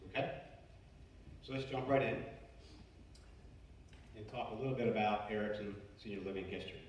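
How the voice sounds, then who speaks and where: A man talks through a company presentation in a loose, chatty way, full of fillers and restarts. His voice sits on the low side. He is picked up from a distance in a very echoey meeting room, with a faint hiss underneath. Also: normal speed. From Baltimore, USA. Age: 40s. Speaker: finance presenter.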